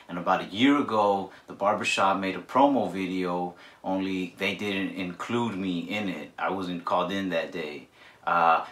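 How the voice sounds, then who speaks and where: Young man, 20s, vlog host, deadpan, offhand, slightly low voice, echoey small room. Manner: dry, offhand humor. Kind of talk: casual deadpan talk to camera.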